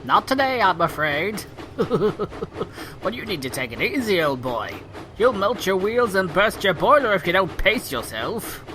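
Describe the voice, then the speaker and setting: A man's voice: high-pitched, very animated, a little slow, over music. A man playing a good-natured, cautious steam engine crewman in a children's audio drama, speaking in a reassuring, chatty way. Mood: teasing concern.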